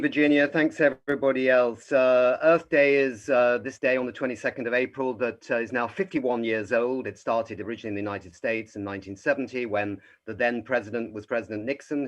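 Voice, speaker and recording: A man's voice: medium pitch, lo-fi sound. A man giving a short persuasive address as a guest speaker on an online call. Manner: steady, persuasive, lightly hesitant. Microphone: video call.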